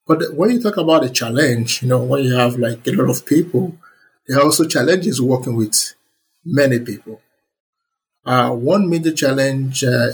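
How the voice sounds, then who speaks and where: A man's voice: mid-range voice, gravelly, slight background noise, low-quality recording. Enthusiastic man. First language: Yoruba. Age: young adult. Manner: earnest and enthusiastic, many fillers. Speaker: interview guest, computer scientist. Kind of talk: relaxed conversational interview answers.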